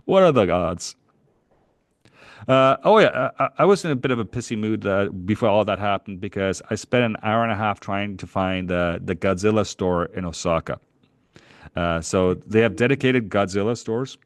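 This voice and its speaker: A middle-aged man from Canada with a low, deep voice tells a long, animated story on a podcast. He rambles and chuckles as he goes. The sound is dry and close, with no room echo. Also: moderate pace. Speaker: podcast co-host. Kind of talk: casual chat, travel storytelling.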